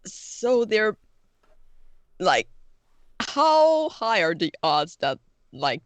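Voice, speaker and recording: Woman as suspicious starship crew member, animated pitch, casual slangy halting talk, close dry sound, role-playing game. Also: no echo.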